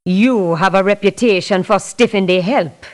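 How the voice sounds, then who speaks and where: A woman playing a tough, principled mercenary for hire in a video game, speaking in a blunt, firm, measured way. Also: medium pitch, a little mellow, natural voice. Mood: firm, dismissive. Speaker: mercenary character in a strategy game. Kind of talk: video game voice clips, scripted refusals and barks.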